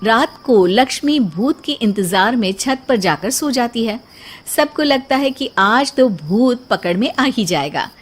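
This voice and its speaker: A woman's voice: on the high side, expressive, measured pace, husky. A woman narrating a scripted story as the storyteller.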